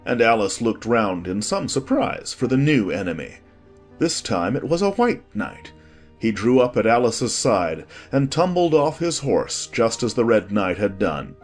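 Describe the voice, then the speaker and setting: A man with a husky voice reading a scripted story as an expressive audiobook narrator. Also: medium pitch, measured pace. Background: faint music.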